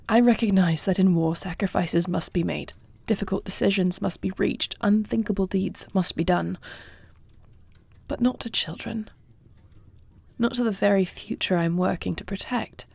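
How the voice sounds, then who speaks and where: Woman acting a mellow, earnest young dragon queen. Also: medium pitch, vocal fry, slightly rough, no room sound. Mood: earnest, troubled. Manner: earnest, formal, softly intimate. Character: compassionate young dragon queen, self-doubting warrior bride. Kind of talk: scripted fantasy audio roleplay.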